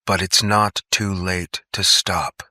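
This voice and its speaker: A man voicing a cautious male doctor in an audiobook, measured and smooth, gently admonishing. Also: deep voice, level tone, no echo, studio quality, full character voice.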